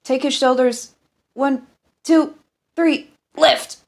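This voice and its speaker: A woman's voice: sits high, steady tone, takes it slowly, vocal fry, voice pushed up, heavily acted. A woman voicing a harried, blunt doctor who takes charge in an audiobook story, speaking urgently. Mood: urgent, commanding.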